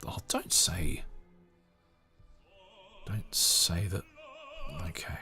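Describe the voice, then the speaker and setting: A man hosting a podcast, giving casual off-the-cuff commentary in a halting way, often muttering asides to himself. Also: low-pitched, sharp tone, whispered, faint music behind. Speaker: podcast host.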